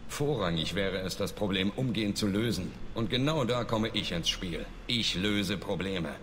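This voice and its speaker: An actor playing a resolute, commanding space soldier in a video game, speaking firmly. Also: male voice, on the low side, normal speed, fairly bright tone, natural voice. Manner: firm, assured. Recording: reverberant game scene, faint noise.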